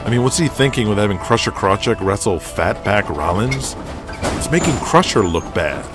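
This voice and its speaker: A man's voice: fairly low pitch, animated, normal speed, over music, close to an everyday voice. A man voicing a frustrated, outspoken young wrestling crew member in casual audio drama dialogue. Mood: frustrated, questioning. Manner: griping, casual.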